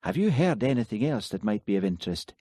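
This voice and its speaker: A man reading an audiobook, voicing a Highland village policeman who talks plainly and questions firmly. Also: very animated, fast, warm tone, no room sound, clean studio sound. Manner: plain-spoken, firm questioning. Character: Highland village policeman, easygoing but stern. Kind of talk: audiobook character voice.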